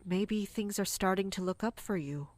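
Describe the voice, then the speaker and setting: A woman's voice: medium pitch, moderate pace, darkish tone, no room sound, close to an everyday voice. A woman playing a dutiful, guarded royal guard captain in an audio drama, speaking formally and deferentially. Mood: uneasy, concerned.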